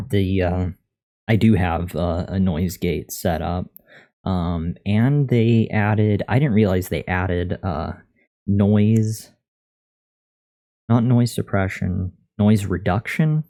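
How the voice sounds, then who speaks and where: Male live streamer, very low and relaxed, monotone, casual desk-mic chat while learning to code. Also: takes it slowly. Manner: thinking aloud, reading out and answering chat. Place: untreated room at a computer. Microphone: USB condenser microphone at a desk.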